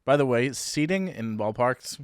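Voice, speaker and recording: A man's voice: medium pitch, expressive, a little slow, smooth, no echo, clean studio sound. A man co-hosting a podcast, telling stories in a chatty way and laughing often. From New Hampshire, USA. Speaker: podcast co-host. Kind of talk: casual chat.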